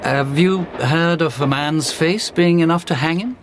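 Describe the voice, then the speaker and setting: An actor playing a confident, opinionated Victorian police inspector in a radio drama, humming and then pronouncing firmly. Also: male voice, fairly high pitch, measured pace, close to an everyday voice. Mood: opinionated, assured. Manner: hesitant hums, assertive pronouncements. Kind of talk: period radio drama acting. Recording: clear background noise.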